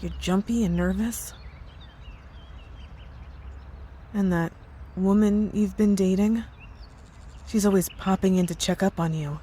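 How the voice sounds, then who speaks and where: A woman's voice: medium pitch, fairly even intonation, normal speed, warm tone, husky, natural voice. A woman playing a concerned coworker in an ASMR roleplay, speaking earnestly, hesitantly and with care. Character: worried, caring married female coworker, hesitant and earnest. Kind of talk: ASMR roleplay audio drama.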